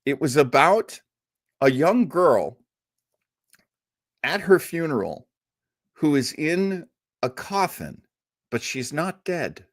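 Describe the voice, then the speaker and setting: A man's voice: a little high, very animated, slow pace, long pauses, dry recording, studio quality. A man speaking as a radio show host, giving a casual, rambling live introduction like an enthusiastic, chatty storyteller. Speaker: host of an old-time radio listening show.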